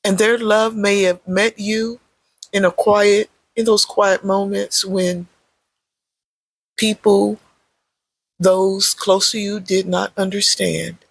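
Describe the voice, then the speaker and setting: Slow, husky meditation guide with creaky voice. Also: mid-range voice, level tone, dry recording. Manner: gentle, measured, pausing between short phrases. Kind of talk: guided meditation, unscripted spoken guidance.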